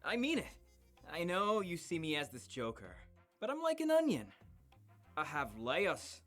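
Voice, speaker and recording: A man's voice: a little high, huge pitch swings, measured pace, a bit bright, heavily acted. A man voicing an eager, goofy middle-school boy in a visual novel, with music behind him. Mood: earnest, nervous.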